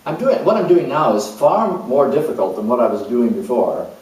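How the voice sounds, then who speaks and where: Man doing a calm spiritual hospice worker, earnest, halting, slow, very echoey room, heard from afar. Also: mid-range voice, natural voice. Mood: reflective. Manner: slow, searching, earnest, with pauses. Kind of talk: dramatized monologue. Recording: very echoey room, mic far off.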